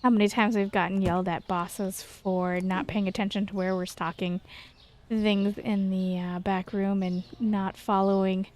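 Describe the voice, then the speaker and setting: A woman's voice: mid-range voice, flat delivery, measured pace, darkish tone, a little hiss or hum. An American woman doing casual, chatty gameplay commentary, rambling and self-deprecating as she tells personal stories. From Texas, United States. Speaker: gaming video host.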